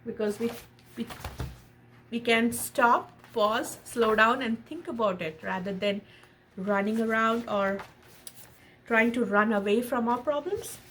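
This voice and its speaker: A woman reading a picture book aloud in an expressive storytelling style, pausing to explain and ask questions of a child and viewers. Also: on the high side. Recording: noticeable background noise.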